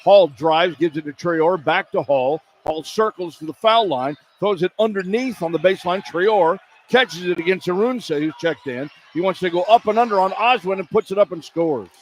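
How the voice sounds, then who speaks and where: A man's voice: sits high, moderate pace, hardly any pauses. A man doing live radio play-by-play commentary of a basketball game as the announcer. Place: courtside in a basketball arena. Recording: clear arena noise behind broadcast.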